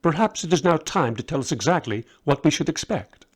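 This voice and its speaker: Man playing a loyal magus, steady, reassuring, direct, inquisitive, storybook reading. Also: on the high side, fairly quick, breathy edge, pitched above the performer's own voice. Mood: curious.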